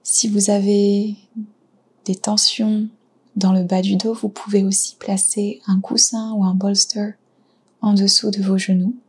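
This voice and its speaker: A woman guiding a yoga nidra relaxation, speaking softly and soothingly with pauses between short phrases. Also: mid-range voice, level tone. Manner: soft, breathy, soothing delivery with pauses. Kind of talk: guided relaxation meditation.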